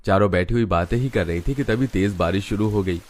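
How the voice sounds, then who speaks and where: A man's voice: lowish voice, brisk, a little mellow. A man narrating a family story in a scripted storybook style.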